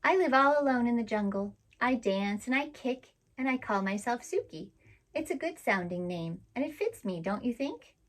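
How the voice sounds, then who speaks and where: A woman's voice: a little high, animated, moderate pace, lightly acted. A woman voicing a small, shy male elephant in a storybook, speaking earnestly and pleadingly.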